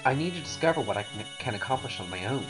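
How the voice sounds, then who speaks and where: A performer plays a young knight in a fantasy game, earnest and set on his own path. He speaks politely but firmly, with a lively range of pitch. Music is clearly heard underneath. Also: quick pace, natural voice, rough recording. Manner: polite, firm. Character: earnest, resolute young male knight seeking independence. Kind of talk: voiced game cutscene dialogue.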